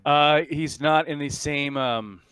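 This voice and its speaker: A man's voice: on the high side, takes it slowly, fairly bright tone, a little hiss or hum. A man working as game master, giving rulings and narration casually, often hesitating and repeating words.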